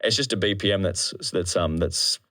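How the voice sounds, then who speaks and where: The low-pitched Aussie bloke at the pub table who swears through his stories and acts out every thought. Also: moderate pace. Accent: Australian. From Australia. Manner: animated retelling, slangy and sweary, acting out thoughts and sounds. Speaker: interview guest, drum and bass MC. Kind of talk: casual anecdotal chat.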